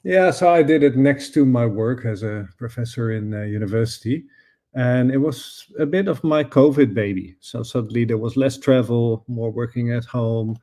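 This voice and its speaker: Middle-aged Dutch academic guest, relaxed warm voice, explains carefully with pauses and restarts. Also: male voice, mid-range voice. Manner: thoughtful, searching for words with frequent fillers and restarts. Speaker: guest, professor and author. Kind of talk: conversational interview answers.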